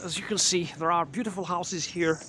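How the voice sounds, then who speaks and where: A man in his thirties guiding a casual walking tour on a quiet residential street, talking with many fillers and restarts into a handheld camera mic. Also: sits high, fairly quick. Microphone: handheld camera mic while walking. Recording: clear outdoor street noise.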